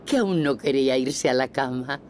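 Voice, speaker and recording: A woman with a Castilian Spanish accent playing the gentle storyteller of a preschool cartoon. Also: a bass voice, normal speed, a little hiss or hum. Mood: gentle storytelling. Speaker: narrator. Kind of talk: scripted children's cartoon narration. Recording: music behind the voice.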